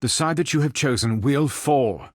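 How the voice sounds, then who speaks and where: A man playing a calm, noble android superhero in a video game, speaking in formal, precise, stilted robotic phrases. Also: medium pitch, moderate pace, no echo, clean studio sound, lightly acted. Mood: stern, threatening. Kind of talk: video game character voice lines.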